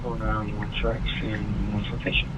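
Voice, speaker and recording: Man, police radio call, clipped procedural talk, lo-fi muffled sound, very loud vehicle noise. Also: on the low side, little pitch movement, moderate pace. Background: loud car and street noise.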